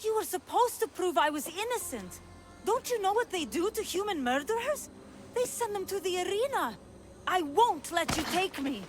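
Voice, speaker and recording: A woman voicing an anguished, earnest young woman with a dark hidden side, in a video game. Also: extremely high pitch, sing-song, moderate pace, faint music behind. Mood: indignant, defensive. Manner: earnest, emotional. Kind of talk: video game cutscene acting.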